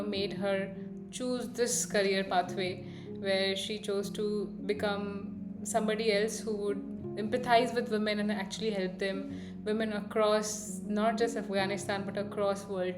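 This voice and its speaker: A woman hosting a podcast, asking long, chatty questions sprinkled with "you know" and "like". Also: mid-range voice. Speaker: podcast host. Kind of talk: conversational interview. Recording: echoey room with music behind.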